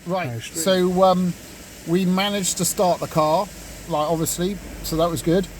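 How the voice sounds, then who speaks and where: A man's voice: high-pitched, fairly even intonation, moderate pace. A man chatting casually as he vlogs about his car, with some background noise from cars and surroundings. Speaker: car vlogger. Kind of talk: casual vlog chat.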